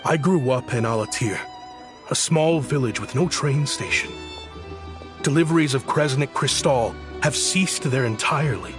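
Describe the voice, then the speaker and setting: A man's voice: mid-range voice, normal speed, lightly acted. A man acting a theatrical, guilt-ridden official over music. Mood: anguished. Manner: pleading, remorseful, theatrical. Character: guilt-ridden man, pleading official turned petty criminal. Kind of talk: dramatic video game voice acting. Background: loud background music.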